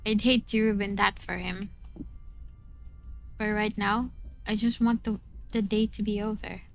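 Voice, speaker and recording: A woman's voice: mid-range voice, little pitch movement, a little slow, vocal fry, lo-fi sound. A woman reading first-person visual novel narration in a dramatic storytelling style. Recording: music behind the voice.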